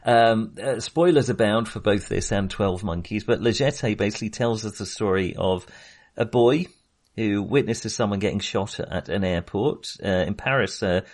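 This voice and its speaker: A man with a slightly low voice hosts a casual film podcast. He talks in a rambling, hesitant way, circling back on his phrases with a wry touch. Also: normal speed, a little mellow. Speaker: podcast host.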